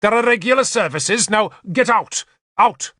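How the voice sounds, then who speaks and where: Shrill, melodramatic pious bishop. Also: male voice, unhurried, fairly bright tone, dry recording, clean studio sound. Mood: furious. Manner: melodramatic, indignant, pleading. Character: shrill, sly, hysterically pious male bishop.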